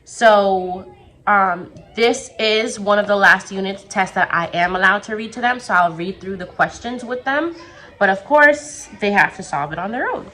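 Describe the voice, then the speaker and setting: A young woman teacher talking casually to the camera from her classroom, her voice a little raspy as it recovers, chatty and full of quick asides. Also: medium pitch, bright, forceful tone. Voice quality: slightly raspy. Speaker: second grade teacher.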